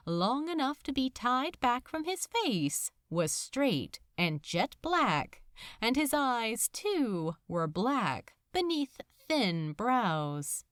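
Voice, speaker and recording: A woman narrates fiction with dramatic, lively swings in pitch. She takes her time, setting out short measured phrases with pauses between them, in a dry, close recording. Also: dry recording. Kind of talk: scripted story narration, dramatic reading.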